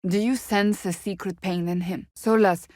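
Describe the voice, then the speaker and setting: A woman's voice: medium pitch, steady tone, normal speed, a little mellow, dry recording, studio quality, natural voice. An actress voicing a stern, devout warrior woman in a fantasy video game, speaking in a formal, blunt, serious way. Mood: grave, questioning.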